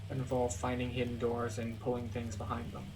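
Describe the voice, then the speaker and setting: A man's voice: mid-range voice, completely flat delivery, brisk, distant mic, background noise. A man casually explaining a video game as he plays, like a tour guide pointing things out. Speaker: game walkthrough host. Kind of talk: casual gameplay commentary.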